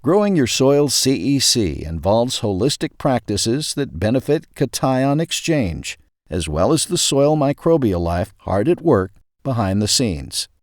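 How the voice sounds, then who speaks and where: A man reading a scripted magazine article aloud in a measured, clearly emphasized narrator's delivery. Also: medium pitch, big pitch swings, no room sound, studio quality.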